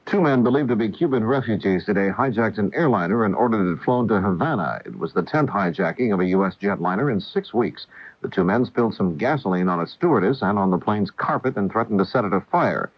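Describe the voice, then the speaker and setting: Male news anchor, factual bulletin delivery, low register, vintage lo-fi AM radio sound. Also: lively intonation, brisk. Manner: formal, factual. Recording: dull roomy 1980 AM radio.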